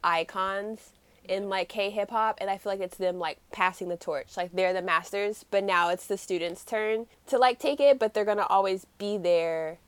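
A woman's voice: medium pitch, moderate pace, smooth, no room sound. A woman co-hosting a casual podcast chat, laughing often and talking chattily. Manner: giggly, chatty.

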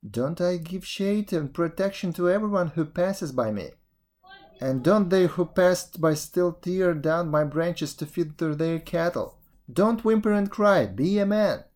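Narrator as a high, scolding tree. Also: lively intonation, measured pace, darkish tone, lightly acted. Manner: scolding, rhetorical. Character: stern, aggrieved tree, scolding and unsympathetic.

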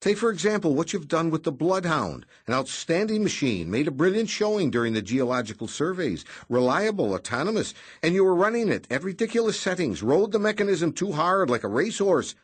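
A man's voice: a little high, very animated, fairly quick, few pauses, breathy edge, voice pushed up. A man voicing a jolly, plump, bald middle-aged bureaucrat who is cheerful and evasive. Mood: protesting.

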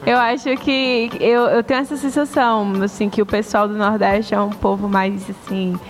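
A woman's voice: mid-range voice, steady tone, normal speed, faint music behind. A woman hosting a casual podcast, asking questions in an easygoing, slightly hesitant way. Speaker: podcast host.

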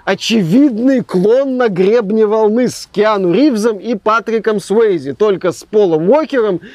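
Young male reviewer, high voice, sarcastic, rambling, dry close sound. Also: unhurried, a little mellow, no room sound. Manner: sarcastic, rambling, trails off often. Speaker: game reviewer. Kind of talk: casual spoken game review.

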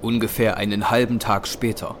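A man's voice: lowish voice, flat delivery, normal speed, background noise. A man narrating a scripted dramatic audio story. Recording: very loud music and battle effects behind.

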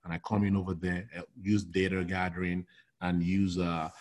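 A middle-aged man from Nigeria, a logistics consultant talking casually in an interview, animated and full of restarts and "you know". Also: low-pitched, moderate pace.

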